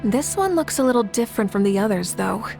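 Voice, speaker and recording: A woman's voice: a little high, lively intonation, fairly quick, gravelly, darkish tone, faint music behind. A woman voicing a confident, composed young woman leader in a video game, speaking reassuringly. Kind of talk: video game character acting.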